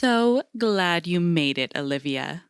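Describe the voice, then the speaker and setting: A polished woman interviewer with a slow delivery and a very animated, swooping pitch. She is overly pleasant and faintly condescending, in the style of an audio drama. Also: smooth, no echo, clean studio sound, soft background music. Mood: cordial, businesslike. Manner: polished, measured, patronizing.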